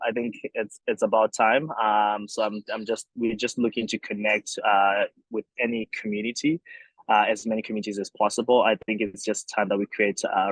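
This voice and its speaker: A man's voice: fairly low pitch, dry recording, low-quality recording. A young man from Zimbabwe, a company founder, answering interview questions thoughtfully with many fillers and restarts.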